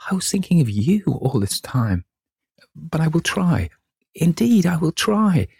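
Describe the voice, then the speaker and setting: Storybook figure: a tearful, imploring young woman, pitched well up, read by a man. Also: sing-song, unhurried, vocal fry, a little mellow, breathy edge, dry recording, clean studio sound, exaggerated voice. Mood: pleading. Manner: tearful, imploring, breathless pleading. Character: desperate young actress pleading with her lover.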